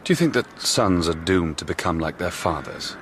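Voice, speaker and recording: Clever, self-assured young detective. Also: male voice, low voice, lively intonation, normal speed, fairly bright tone, slight background noise. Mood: subdued. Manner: precise, composed, deductive. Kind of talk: period radio drama acting.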